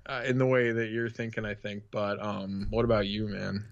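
A man co-hosting a podcast in casual chat, thinking aloud in halting, informal phrases, on a slightly lo-fi microphone. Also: fairly low pitch, level tone, creaky, no echo. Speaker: podcast co-host. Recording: dull, lo-fi microphone sound.

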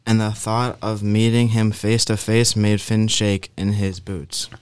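Low, smooth male storyteller. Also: measured pace, no room sound. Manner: expressive storyteller. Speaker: narrator. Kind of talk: dramatic folktale narration for radio.

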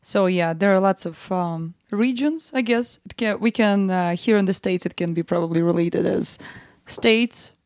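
A woman's voice: medium pitch, normal speed, a little mellow, dry recording, clean studio sound. A woman from western Russia with a Russian accent, answering casually as an interview guest.

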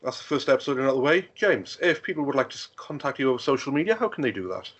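Laid-back podcast host guy keeping things moving, average pitch, dull lo-fi audio. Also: sing-song, racing, fairly bright tone. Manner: easygoing, steers the show with wrap-ups and sign-offs. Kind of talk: casual podcast chat.

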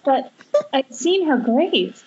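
A woman's voice: high voice, sing-song, takes it slowly, slight background noise, exaggerated voice. A woman reading the part of a worried, determined young horror heroine in an amateur screenplay table read. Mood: puzzled. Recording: dull, lo-fi internet call.

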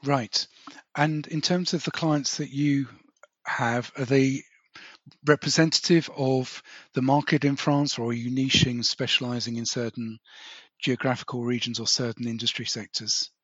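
A man hosting a podcast interview who asks relaxed follow-up questions and sums up what he hears. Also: mid-range voice, moderate pace, no room sound, highs cut off. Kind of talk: casual conversational interview.

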